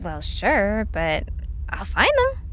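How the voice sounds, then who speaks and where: A woman's voice: mid-range voice, wildly swooping pitch, slow, fairly bright tone, a little hiss or hum, full character voice. A woman playing a skeptical, anxious young woman in an audio drama, questioning everything in an emphatic, incredulous way. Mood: skeptical, uneasy.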